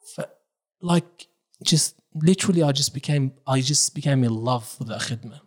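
A young man born in Iraq, a religious reciter, telling his life story in a relaxed, casual podcast chat, dropping Arabic phrases as he talks. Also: mid-range voice, moderate pace. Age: young adult.

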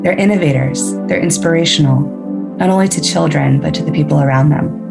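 A woman hosting an interview podcast, reading a scripted intro and then gently prompting her guests with questions. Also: deep voice, a little fast, gravelly, over loud music. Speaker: podcast host.

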